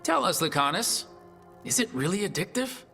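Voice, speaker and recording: A man's voice: high voice, very animated, normal speed, vocal fry, sharp tone, faint music behind, exaggerated voice. A man voicing a wry, capable heroic team leader in a video game, dry and composed. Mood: dry teasing.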